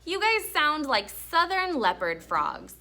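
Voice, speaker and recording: A woman's voice: high voice, very animated, takes it slowly, pushed and bright, pitched above the performer's own voice, full character voice. A woman voicing an eager, earnest young boy who loves frogs, in a storybook read-aloud. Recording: noisy classroom recording.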